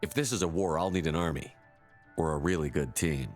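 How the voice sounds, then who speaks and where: An actor playing a resolute, blunt space marine commander in a sci-fi game, speaking firmly and directly. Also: male voice, rumbling low, fairly quick, soft background music. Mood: determined, questioning. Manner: firm, direct. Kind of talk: cinematic sci-fi game dialogue.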